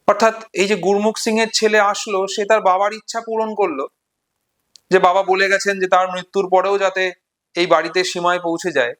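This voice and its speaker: High-pitched Bengali man explaining literature. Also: fairly bright tone. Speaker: literature presenter. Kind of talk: explanatory storytelling and literary commentary.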